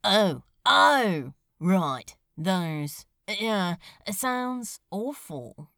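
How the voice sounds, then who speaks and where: A woman's voice: mid-range voice, lively intonation, very slow, fairly bright tone, no echo, full character voice. A woman voicing a flustered, casual man with dry, hesitant interjections in a storybook reading.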